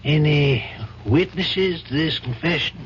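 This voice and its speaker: Older male judge, acted, 1970s radio drama: unhurried, probing, compassionate, on a dull old broadcast with faint hiss. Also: a little high, natural voice. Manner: measured, probing, deliberate.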